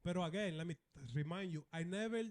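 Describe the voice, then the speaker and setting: A man's voice: sits high, fast, a little mellow. Brash Dominican-accented comedian man. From Dominican Republic. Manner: brash, profane, jokey, switches into playful voices. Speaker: comedian guest. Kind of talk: casual podcast chat.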